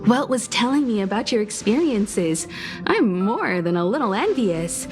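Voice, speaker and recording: A woman's voice: medium pitch, big pitch swings, moderate pace, close to an everyday voice. An actress voicing a poised, worldly female navigator in a video game, speaking gracefully and articulately. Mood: playful. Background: game music.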